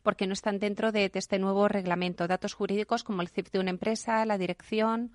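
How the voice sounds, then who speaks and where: A woman from talks as an expert guest in a radio studio. Her pitch is middling, and she works through definitions methodically, listing example after example. The recording is dry and close. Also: steady tone, quick pace, no echo. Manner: methodical, lists examples one by one. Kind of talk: explanatory radio discussion.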